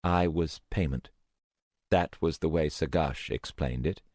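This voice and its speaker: A man's voice: extremely low pitch, measured pace, a little mellow, dry recording, clean studio sound. A man voicing a frightened, weary young woman who speaks in a hesitant, strained way. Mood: subdued.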